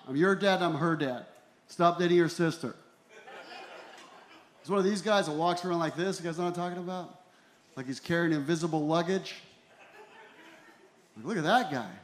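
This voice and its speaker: Guy in his 40s doing standup on a stage mic, kinda high voice, dry self-mocking bits, pretty echoey room. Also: a little hiss or hum. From Ecuador and El Salvador. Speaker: stand-up comedian. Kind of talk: stand-up comedy routine. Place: small comedy venue in a tent, on stage. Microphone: handheld stage microphone. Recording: roomy live comedy club sound.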